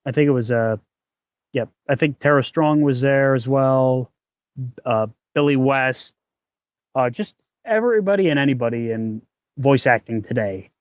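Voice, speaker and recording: A man's voice: mid-range voice, no echo, dull audio. A man co-hosting a podcast in a casual discussion, thinking aloud as he goes and swearing now and then. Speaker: podcast co-host.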